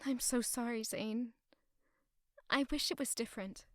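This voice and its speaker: Young royal confidante: high, soft, caring voice for audio drama, recorded dry. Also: female voice, a little slow, no echo. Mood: sympathetic. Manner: soft, sympathetic. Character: gentle, concerned young woman, trusted confidante of king.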